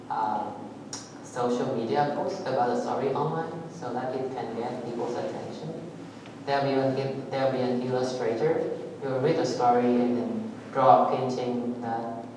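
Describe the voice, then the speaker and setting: A man from Vietnam, a native Vietnamese speaker, giving a technical talk at a meetup in a halting way, with many fillers and restarts. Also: medium pitch. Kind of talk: technical presentation with live demo. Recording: echoey hall, voice far from mic, audible noise.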